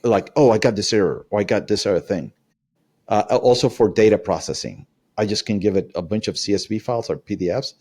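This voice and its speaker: A man's voice: on the low side, a little fast, darkish tone, husky. A man who is not a native English speaker, a guest on a podcast panel, explaining how he works in a direct, matter-of-fact way. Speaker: podcast guest, security practitioner. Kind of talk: casual panel chat.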